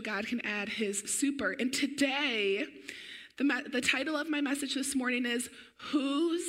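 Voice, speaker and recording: Woman preacher with a weakened voice. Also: on the high side. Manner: energetic, conversational preaching. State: weakened voice, clearing throat. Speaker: pastor. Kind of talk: sermon.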